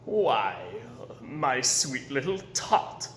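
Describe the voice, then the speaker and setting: A man voicing a sly, scheming Grinch creature in a storybook, with slick, drawn-out, theatrical delivery. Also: medium pitch, sing-song, fairly bright tone, echoey room, a little hiss or hum, heavily acted. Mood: falsely sweet, sly. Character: sly, mean Grinch creature, gleefully scheming, faking sweetness.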